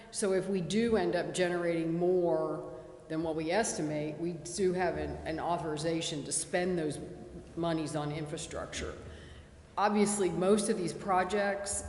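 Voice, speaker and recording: A woman consultant explaining tax funding steps clearly at a city committee meeting. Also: on the low side, slight background noise. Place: city council chamber. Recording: echoey council chamber from far off.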